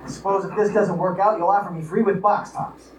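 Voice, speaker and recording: A man's voice: high-pitched, fairly even intonation, a little fast, close to an everyday voice. A man playing a sarcastic, indignant playboy son in an old-time radio comedy performed live in a theater. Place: live on stage in a small theater. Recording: distant built-in recorder mics, echoey, faint noise.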